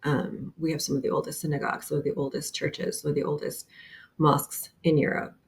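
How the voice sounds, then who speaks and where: A woman's voice: deep voice, level tone, fairly quick, vocal fry, a little hiss or hum. A woman in her thirties with a Bosnian accent, talking earnestly as a guest on a remote interview, often using fillers. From Bosnia and Herzegovina. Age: 30s. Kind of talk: casual interview.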